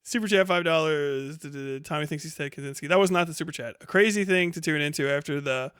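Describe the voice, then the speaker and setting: A man in his thirties talks casually to a livestream in a fairly high, clear voice. He is blunt and incredulous, swearing freely as he comments and reads out chat. The sound is close and dry. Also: fast, a bit bright, dry recording. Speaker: live streamer.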